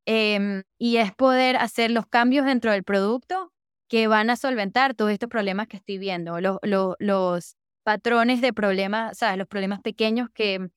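Young woman, from Venezuela, interview guest, enthusiastic casual chat, smooth resonant voice, dry close sound. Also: medium pitch, steady tone, normal speed, no room sound. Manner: enthusiastic, repeats "de verdad que" and "súper". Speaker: podcast guest, user researcher.

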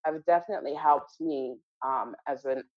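A woman from Malawi talking as an interview guest, reflective and thoughtful, often pausing with fillers and restarting her sentences. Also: sits low, muffled recording. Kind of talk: casual interview conversation.

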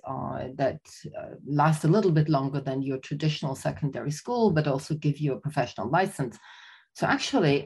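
An older woman with a low, steady voice, speaking thoughtfully in an interview. She often pauses to gather her thoughts and restarts her sentences. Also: breathy edge. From Austria. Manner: thoughtful, many fillers and restarts. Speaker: guest, professor emerita. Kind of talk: conversational interview.

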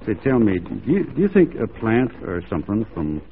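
An actor playing a folksy, easygoing Texas Ranger with a drawling Texan accent, in 1940s radio drama style. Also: male voice, lowish voice, wildly swooping pitch, moderate pace. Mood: shyly flirting. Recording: tinny, noisy 1940s radio recording.

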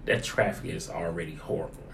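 A man's voice: sits low, fairly even intonation, a little fast, soft background music. A man who is a pastor, talking casually through his sermon ideas and repeating phrases for emphasis. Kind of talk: casual conversational discussion of a sermon. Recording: roomy echo with clear background noise.

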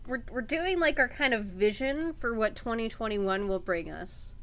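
A woman co-hosting a podcast over remote voice chat, chatty and halting, often restarting her sentences. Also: on the high side, a bit bright. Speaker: podcast co-host. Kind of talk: casual chat.

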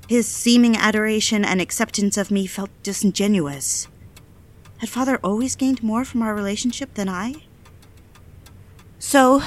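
A woman's voice: mid-range voice, close to an everyday voice. A woman playing a lonely, obsessive diarist in an audio drama, with a confessional, literary way of speaking. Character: lonely, bored, obsessive woman confiding to her diary. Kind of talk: dramatic first-person diary narration.

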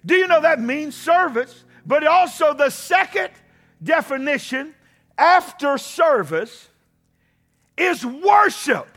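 A pastor preaching a sermon, building to impassioned shouting and calling out to the congregation. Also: male voice, very high voice, animated, slow.

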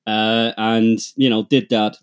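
A German-accented man chats as a podcast guest, relaxed and rambling. His voice is low and deep, and he often makes light of himself. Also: slow pace, clean tone. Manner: relaxed, rambling, self-deprecating. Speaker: guest, music producer and manager. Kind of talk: casual interview chat.

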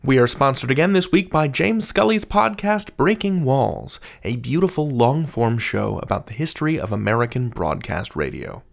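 A man's voice: mid-range voice, sing-song, normal speed, gravelly, few pauses. A man hosting a podcast, reading scripted announcements and credits. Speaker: podcast host.

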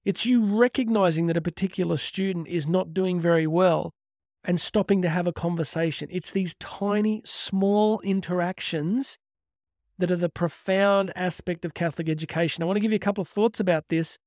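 A man's voice: high voice, normal speed, darkish tone, no room sound, clean studio sound. A man speaking as a motivational speaker on faith, earnest and emphatic, stretching key words and posing rhetorical questions. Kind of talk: motivational talk with religious teaching.

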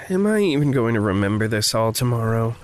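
An actor playing an evasive, dramatic man in distress in an audio drama, with stilted formal phrasing that turns halting. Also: mid-range voice, big pitch swings, lightly acted. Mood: despairing. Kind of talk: fiction podcast acting, naturalistic dialogue.

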